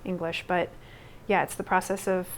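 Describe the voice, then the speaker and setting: Young woman from Guatemala, Spanish her first language, lowish voice; loose, meandering interview talk full of fillers. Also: flat delivery, moderate pace, slight background noise. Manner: rambling, many fillers and restarts. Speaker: textile artist.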